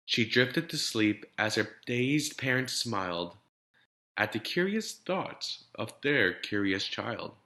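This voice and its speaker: Animated man reading a children's storybook. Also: medium pitch. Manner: deliberate, dramatic storytelling with emphasized rhymes. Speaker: elementary teacher reading to students. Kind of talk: expressive children's picture-book read-aloud.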